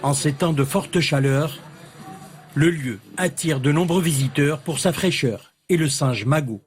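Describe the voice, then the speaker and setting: A man narrating a TV news report in a steady, formal way. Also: a little high, a little slow. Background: faint music.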